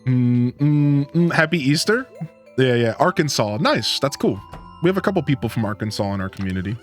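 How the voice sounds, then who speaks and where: A man's voice: mid-range voice, expressive, fairly quick, faint music behind. A man from Ohio with a Midwestern twang, chatting casually with live-stream viewers in a rambling, laid-back way. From Northeast Ohio, USA.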